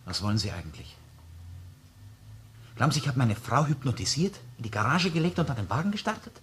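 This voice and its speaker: A man's voice: mid-range voice, very animated, quick pace, fairly bright tone. A man playing a defensive, proud murder suspect in a radio crime drama, protesting and countering questions on a noisy recording. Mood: shocked, indignant. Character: indignant male murder suspect, defensive, proud, under interrogation. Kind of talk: German-language radio crime drama acting. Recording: noisy, with background ambience.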